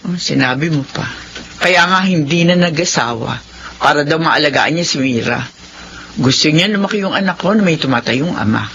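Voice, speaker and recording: A woman's voice: deep voice, expressive, normal speed, fairly bright tone. An actress playing an anxious Filipino single mother in a radio drama. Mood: friendly. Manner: homely, worried. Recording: dull lo-fi radio drama, noisy background.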